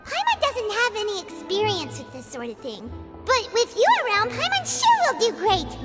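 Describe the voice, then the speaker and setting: A woman voicing a tiny, excitable, talkative fairy companion in a video game, with a squeaky childlike voice and an exclamatory manner. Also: normal speed, over loud music, full character voice. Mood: chatty, relieved. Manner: refers to self in third person, exclamatory.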